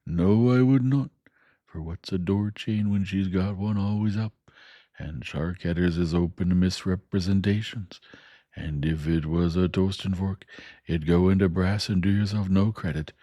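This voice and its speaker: A man voicing a plain-spoken, kindly working-class blacksmith with speech, talking slowly and emphatically. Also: low voice, mellow, no echo, natural voice. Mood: earnest. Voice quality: dialect grammar, slow and emphatic. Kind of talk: audiobook character voice.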